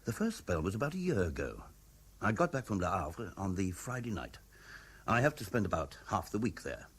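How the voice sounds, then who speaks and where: An actor with a British accent playing a troubled, earnest middle-aged husband in a 1970s radio drama, hesitant but insistent. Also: male voice, low-pitched, big pitch swings, normal speed, fairly bright tone, husky, heavily acted. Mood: troubled, recalling.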